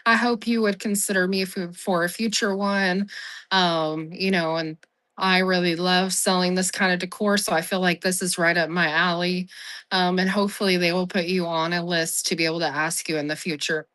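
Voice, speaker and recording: A hoarse woman getting over the flu hosts a casual livestream from home. She talks in a chatty, friendly way with lots of ums and keeps apologizing for how her voice sounds. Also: on the low side, fairly even intonation, moderate pace, fairly bright tone. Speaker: live-selling app host. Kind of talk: casual livestream seller-support chat.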